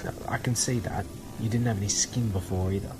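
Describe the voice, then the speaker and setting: A man's voice: fairly low pitch, fairly even intonation, a little fast, over music, natural voice. An actor playing an earnest, thoughtful ordinary man in an audio drama, slightly hesitant in his delivery. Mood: puzzled. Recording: noisy ambience behind the voice.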